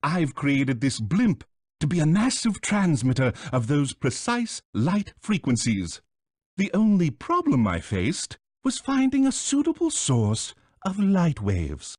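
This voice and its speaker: A man's voice: on the high side, wildly swooping pitch, slow, a little mellow, husky, dry recording, studio quality, heavily acted. A man playing a pompous, condescending, scheming small bird villain with a British accent in a video game, speaking theatrically. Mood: gloating, lecturing.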